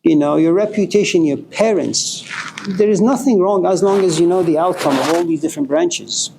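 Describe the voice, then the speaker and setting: Man, middle-aged, from Iran, lecturing, fairly high voice, emphatic bursts, faint hiss. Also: a little fast, creaky. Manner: passionate, swearing, rising to emphatic outbursts. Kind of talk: informal philosophy lecture.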